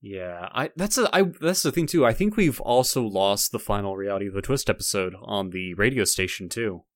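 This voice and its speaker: A man's voice: fairly low pitch, lively intonation, fairly quick, no room sound, studio quality. A young man co-hosting a podcast in casual chat, talking in a rambling way with lots of "like". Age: young adult. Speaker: podcast co-host.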